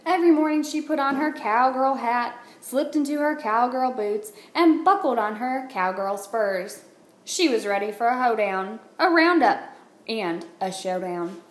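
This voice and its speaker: A woman, a teacher, reading a children's picture book aloud with expressive, deliberate phrasing. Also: a little high. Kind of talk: read-aloud storytelling for children. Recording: roomy echo, light background noise.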